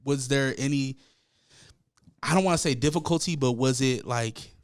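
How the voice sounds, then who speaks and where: A man hosts a podcast in a loose, joking way, teasing his guest between questions. His voice sits at an ordinary middle pitch. The sound is dry and close, with no room echo. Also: normal speed, smooth. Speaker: podcast host. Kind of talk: casual interview chat.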